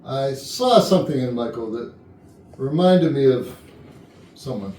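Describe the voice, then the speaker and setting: Mellow, guarded male boss played by a man. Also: mid-range voice, animated, lightly acted. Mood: hesitant. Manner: halting, subdued. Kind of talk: staged reading of a murder mystery comedy. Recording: echoey room, distant mic, background noise.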